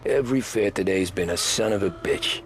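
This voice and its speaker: An actor playing a weary, dryly polite 1930s cab driver in a game cutscene, speaking in a laconic way. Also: male voice, on the low side, normal speed, a little hiss or hum, natural voice. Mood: weary, wry. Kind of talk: naturalistic game cutscene acting.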